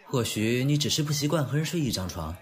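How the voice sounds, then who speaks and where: A man's voice: mid-range voice, animated, fast talker, lightly acted. A young man acting in an audio drama as a blunt, sardonic best friend who probes and teases. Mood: probing.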